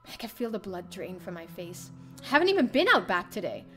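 A woman's voice: mid-range voice, very animated, brisk, fairly bright tone. A young adult woman streamer reading a visual novel aloud in dramatic first-person narration. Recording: faint music behind.

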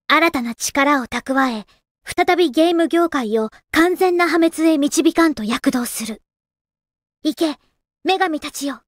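A woman's voice: sits high, dry recording, studio quality. A woman voicing a girlish young heroine who narrates game recaps in an earnest, theatrical, carefully enunciated style. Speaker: narrator of episode recaps and previews. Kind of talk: scripted dramatic next-episode preview narration.

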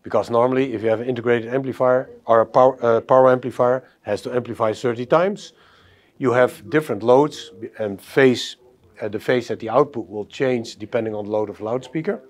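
A man's voice: medium pitch, brisk. A middle-aged man giving a technical product presentation in an indoor exhibition room. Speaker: audio company presenter.

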